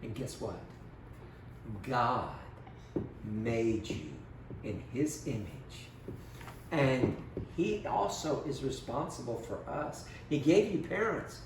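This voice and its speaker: A man's voice: mid-range voice, animated, takes it slowly. A man giving a children's Bible lesson as storyteller, speaking simply and instructively and putting questions to small children. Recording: roomy, distant mic with background noise.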